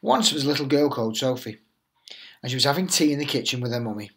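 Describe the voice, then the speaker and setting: A middle-aged man, a headteacher, reading a picture book aloud to young children in an expressive, reassuring way. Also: medium pitch, fast talker, slight background noise.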